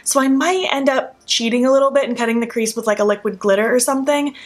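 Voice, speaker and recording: A young woman in a casual makeup tutorial, talking softly with a sleepy early-morning voice and narrating each step as she works. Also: on the high side, fast. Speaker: beauty vlogger. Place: at home.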